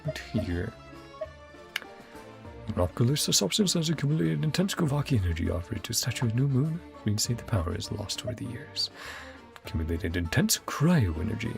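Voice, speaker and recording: A man narrates his own gameplay in a loose, rambling way. His voice has a noticeable creak and moves expressively up and down, with game music playing behind him. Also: mid-range voice, very animated, brisk. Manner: rambling, musing, easily amused. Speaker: gaming content creator. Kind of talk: casual game playthrough commentary.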